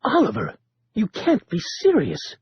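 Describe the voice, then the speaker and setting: A man voicing a nervous, fussy male librarian who talks in whiny, excitable bursts. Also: medium pitch, brisk, muffled recording, slight background noise, natural voice. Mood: agitated.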